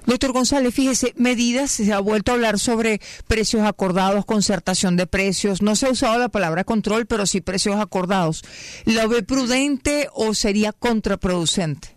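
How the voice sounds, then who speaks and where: High-voiced male radio interviewer. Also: no echo. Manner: brisk, formal questioning. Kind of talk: interview questions.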